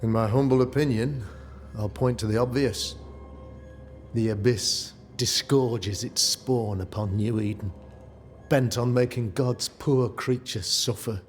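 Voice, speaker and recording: An actor playing a formal, pious and condescending colonial gentleman in a video game cutscene, with a measured, pompous delivery. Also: medium pitch, fairly even intonation, soft background music, natural voice. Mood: grave, pointed.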